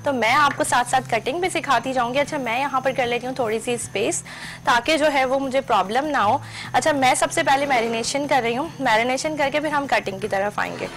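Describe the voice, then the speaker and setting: A woman's voice: high voice, a little fast, fairly bright tone, faint music behind, rough recording. A middle-aged woman hosting a cooking show from a studio kitchen, explaining each step in a chatty, instructive way and mixing in English cooking terms.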